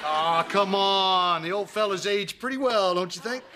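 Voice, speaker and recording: An actor playing a sheepish, pleading middle-aged ex-husband in a sitcom. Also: male voice, high voice, moderate pace, heavy background noise, close to an everyday voice. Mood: teasing. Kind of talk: broadcast sitcom acting.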